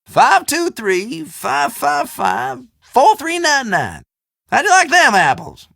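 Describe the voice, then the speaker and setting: An actor playing a folksy old storekeeper in a video game, chatty and teasing, with a drawl. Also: male voice, very high-pitched, very animated, slow, creaky, pushed and bright, no room sound, clean studio sound, exaggerated voice. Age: older. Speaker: small-town general store keeper. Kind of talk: video game character dialogue.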